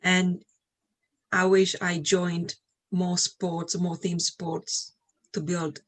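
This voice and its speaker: A woman's voice: fairly low pitch, flat delivery, extremely slow. A middle-aged woman from Slovakia speaking English with a Slovak accent, chatting as an interview guest about her own life. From Slovakia, Eastern Europe. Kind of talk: casual conversational interview, personal recollections.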